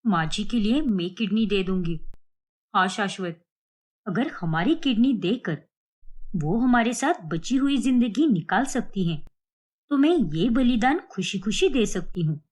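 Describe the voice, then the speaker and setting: A woman's voice: mid-range voice, expressive, a little slow, a little mellow. A woman playing an earnest, self-sacrificing middle-aged mother in a sentimental cartoon melodrama. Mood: solemn resolve.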